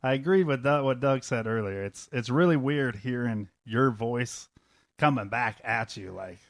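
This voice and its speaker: A man's voice: mid-range voice, moderate pace, no echo, clean studio sound. A man talking casually as an interview guest, in a hesitant, self-deprecating way. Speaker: voice actor guest on a cast interview. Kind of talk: casual unscripted interview talk.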